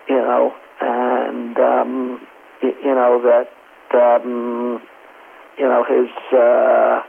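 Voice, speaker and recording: Gravelly, hesitant man over a radio. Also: medium pitch. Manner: hesitant, many fillers. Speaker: amateur radio operator. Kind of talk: casual radio chat. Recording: tinny two-way radio transmission.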